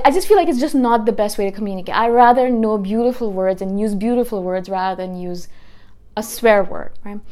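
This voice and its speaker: A woman in her thirties talks casually to her viewers, her accent a blend of British, Canadian and Indian, with Hindi as her first language. Her voice sits at an ordinary middle pitch. She rambles a little, restarting phrases and correcting herself as she goes. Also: a little mellow. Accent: mixed British, Canadian and Indian. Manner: chatty, rambling with frequent restarts and self-corrections. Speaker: YouTuber. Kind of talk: casual talking-to-camera vlog.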